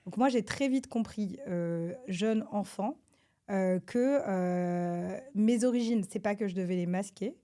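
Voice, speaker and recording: A woman from France, a government advisor, answers interview questions in a hesitant, halting way with many filler sounds and restarts. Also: medium pitch, dark tone. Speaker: government advisor, podcast guest.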